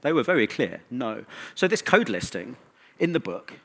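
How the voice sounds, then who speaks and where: A man speaking as a conference presenter in a technical talk, with a wry, opinionated manner and emphatic pauses. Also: mid-range voice, very animated, creaky, a bit bright, slightly rough.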